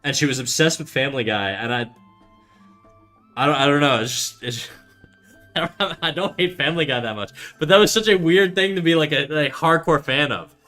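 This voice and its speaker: A young Canadian man with a bright, forward voice rambles through a laid-back streaming chat, tripping over his words and swearing freely. Soft music sits faintly behind him. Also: fairly high pitch, moderate pace. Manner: rambling, swears often, many fillers and restarts. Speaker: art streamer. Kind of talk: casual livestream chat reading chat aloud.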